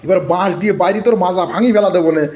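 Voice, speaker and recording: A man acts out an older village man in a comic cartoon. His voice is high and the words come quickly, with emphatic, bossy phrasing and a rural Bangladeshi accent. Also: fairly even intonation, over a noisy crowd, lightly acted. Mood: angry scolding. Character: scolding, hot-tempered village elder man.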